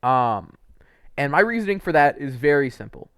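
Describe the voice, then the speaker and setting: A man's voice: fairly high pitch, very animated, moderate pace, a bit bright, clean tone, no room sound, clean studio sound. A young man giving casual, unscripted video game commentary in an informal, enthusiastic way, with some mild swearing.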